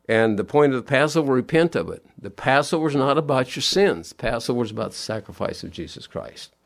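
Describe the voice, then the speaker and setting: A middle-aged man preaching a sermon, sounding nasal and sniffly from allergies, earnest and repeating his key words in plain homespun phrasing. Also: medium pitch.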